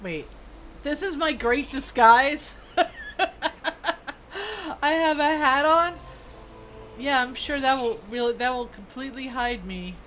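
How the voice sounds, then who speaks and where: A woman's voice: high voice, slow pace, pauses often, fairly bright tone, slight background noise. A woman streamer giving casual, chatty live commentary on a video game, reacting aloud and reading bits of quest text.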